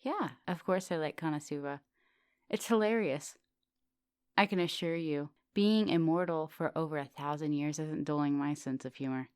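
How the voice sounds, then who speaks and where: A woman playing an immortal vampire professor in an ASMR roleplay, teasing, flirtatious and gently insistent. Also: sits low, moderate pace, smooth, no room sound, clean studio sound, lightly acted. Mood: playful teasing. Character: teasing, thousand-year-old female vampire professor, soft dominant, charming. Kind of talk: ASMR roleplay, intimate one-sided conversation.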